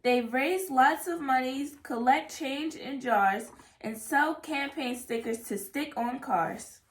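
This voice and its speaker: A woman reading a rhyming children's picture book aloud in a measured, careful way. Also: high-pitched. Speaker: read-aloud reader. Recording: echoey room.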